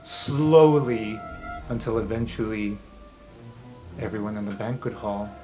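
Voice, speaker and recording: A man's voice: fairly low pitch, unhurried, music in the background, poor audio. A man running a tabletop game, narrating the scene casually with plenty of fillers. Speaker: game master.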